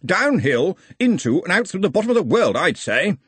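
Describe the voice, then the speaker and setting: A man voicing an incredulous, exasperated educated gentleman in a storybook, precise and well-spoken. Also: high-pitched, big pitch swings, normal speed, breathy edge, no room sound, voice pushed up, full character voice.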